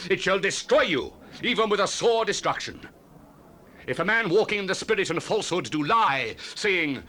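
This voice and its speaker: An impassioned biblical prophet proclaiming doom in a dramatized scripture recording from a 1970s cassette. His voice is fairly high and forcefully bright. The delivery is solemn, declamatory and theatrical, in archaic English. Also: a little hiss or hum. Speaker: dramatized Bible reader.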